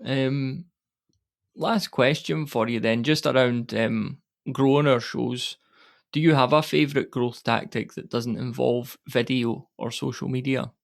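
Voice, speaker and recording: A man's voice: medium pitch, steady tone, measured pace, vocal fry. A man interviewing a guest in a conversational way as a podcast host.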